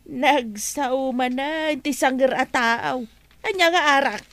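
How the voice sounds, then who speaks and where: An actress playing a sharp-tongued wife and mother who nags and mocks. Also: sits high, slow. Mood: nagging. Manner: nagging, sarcastic.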